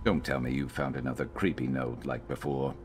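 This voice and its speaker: An actor playing a gruff, vengeful lizard-man companion in a video game, wry but earnest, speaking in a measured, brooding way. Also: extremely low pitch, flat delivery, a little mellow. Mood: dry, wry. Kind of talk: video game character dialogue.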